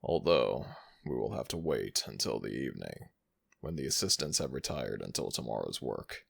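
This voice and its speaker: A man voicing an ancient jackal god in an audio roleplay, gentle, teasing and fond toward the listener. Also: lively intonation, heavily acted. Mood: playful warm. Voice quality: very deep gravelly voice, slow and soothing. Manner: affectionate, playfully self-mocking.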